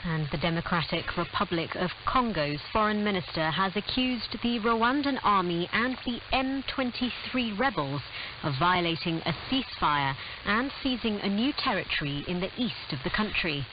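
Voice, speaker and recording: A woman's voice: mid-range voice, expressive, moderate pace. A woman anchoring a scripted radio news bulletin, heard over shortwave radio. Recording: muffled shortwave radio with heavy hiss.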